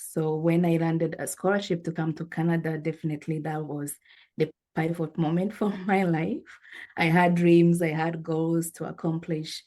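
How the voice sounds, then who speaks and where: A woman's voice: low voice, darkish tone. A woman in her forties from Rwanda, telling her life story as an interview guest in an earnest, reflective way. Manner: earnest, reflective, pausing with fillers.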